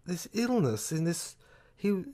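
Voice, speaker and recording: A man playing a puzzled, slow-witted and deferential police sergeant in an amateur audio drama, speaking in a hesitant, questioning way. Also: high-pitched, sing-song, warm tone, full character voice.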